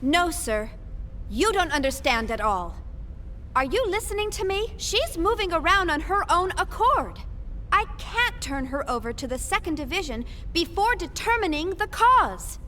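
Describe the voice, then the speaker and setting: A woman's voice: very high-pitched, big pitch swings, moderate pace, bright, forceful tone, slight background noise, full character voice. An actress playing a headstrong young woman scientist in a video game, assertive and articulate. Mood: firm, composed. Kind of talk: English-dubbed anime-style game acting.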